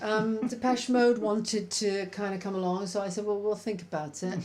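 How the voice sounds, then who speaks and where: A woman's voice: mid-range voice, fairly even intonation, normal speed, a little mellow, echoey room. A woman with a Welsh accent co-hosting a casual livestream, playful and teasing with dry jokes. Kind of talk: informal livestream chat.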